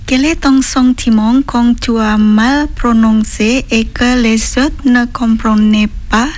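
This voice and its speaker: A woman's voice: fairly high pitch, steady tone, takes it slowly, darkish tone. A woman from Indonesia, a French student, answering questions hesitantly and searching for words. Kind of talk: casual interview answers.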